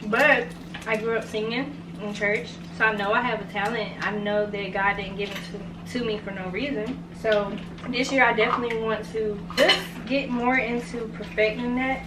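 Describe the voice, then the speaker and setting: A young woman with a soft voice chatting casually over a meal as a vlog host. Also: a little high, fairly even intonation, moderate pace. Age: young adult. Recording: distant, echoey room with loud noise.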